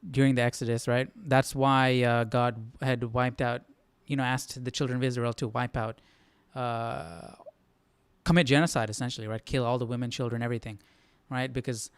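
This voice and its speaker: A man's voice: mid-range voice, little pitch movement, moderate pace, clean tone, no echo. A man hosting a casual live Bible study, thinking aloud informally and often checking in with the listener. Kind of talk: casual live commentary on scripture.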